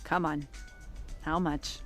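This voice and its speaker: A woman's voice: low voice, steady tone, slow pace, a bit bright, faint music behind. A woman playing a world-weary, sarcastic police detective in an audio drama, blunt and informal. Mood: dry, weary.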